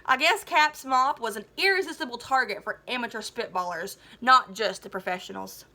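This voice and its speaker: A woman teacher reading a story aloud to students, expressive and chatty, with enthusiastic casual commentary. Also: on the high side, normal speed.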